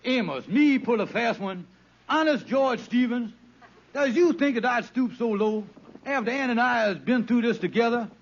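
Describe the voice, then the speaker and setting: A man's voice: very high-pitched, moderate pace. A man acting a scheming, wheedling, bossy con man with grandiloquent but folksy ungrammatical speech in an old-time radio sitcom. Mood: mock indignant, pompous. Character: Kingfish, scheming, blustery, wheedling adult male con man. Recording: muffled, noisy vintage radio recording.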